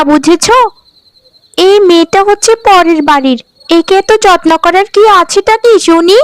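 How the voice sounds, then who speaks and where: A woman's voice: extremely high pitch, a bit bright, no echo. A woman voicing a spiteful, bossy young sister-in-law in a cartoon, scolding and taunting haughtily. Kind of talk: Bengali cartoon dialogue acting.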